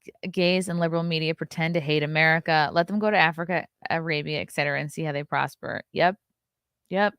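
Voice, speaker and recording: A woman in her thirties hosts a livestream and talks straight into a close mic. Her voice sits low for a woman and sounds dry and close, with no room around it. She is blunt and sarcastic and swears freely as she riffs on chat comments. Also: steady tone, a little fast, fairly bright tone, clear, resonant tone, no echo. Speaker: livestream host.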